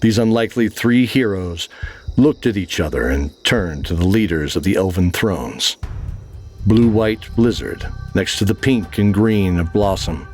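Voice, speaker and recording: Guy narrating a fantasy story, deepish voice, dramatic scripted read, music behind. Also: measured pace, a little mellow.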